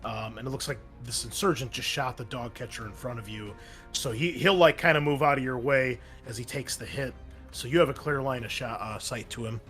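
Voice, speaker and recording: Male game master, mid-pitched, casual vivid combat narration, faint music underneath. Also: fairly quick, a bit bright.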